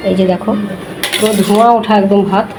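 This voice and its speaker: A woman's voice: medium pitch, steady tone, fast. A woman with an Indian Bengali accent chatting casually for a family vlog, often repeating her phrases. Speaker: family vlogger. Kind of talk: casual home vlog chat. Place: indoors at home. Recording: roomy echo, loud household background noise.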